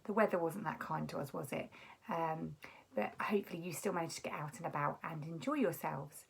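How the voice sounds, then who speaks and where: A woman with an expressive manner, chatting to young children and reading a picture book aloud as their teacher. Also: low-pitched, brisk, gravelly, slightly rough. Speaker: primary school teacher reading a story. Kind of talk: children's story read-aloud with friendly chat.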